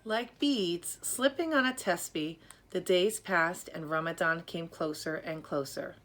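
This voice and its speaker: A woman reading a children's story aloud in a measured, expressive storytelling manner. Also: fairly low pitch. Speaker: storybook reader. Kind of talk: scripted narration, children's story read aloud.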